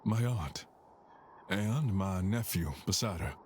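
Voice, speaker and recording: Mystery game role, male: courteous lonely traveler, lowish pitch, gentle wistful delivery, slight background ambience. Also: lively intonation, takes it slowly, a little hiss or hum. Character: gentle, polite man lonely far from home.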